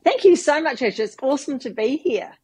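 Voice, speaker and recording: A woman's voice: sits high, animated, a little fast, darkish tone. A woman in her fifties from New Zealand, with a New Zealand accent, chatting as a podcast guest and explaining things with long winding examples. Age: 50s. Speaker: podcast guest, branding expert. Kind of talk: casual interview talk.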